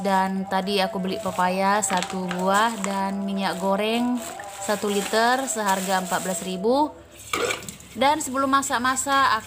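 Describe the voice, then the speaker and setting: A woman from Medan, Indonesia, a homemaker giving a casual, chatty vlog voice-over. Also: mid-range voice. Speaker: homemaker vlogger.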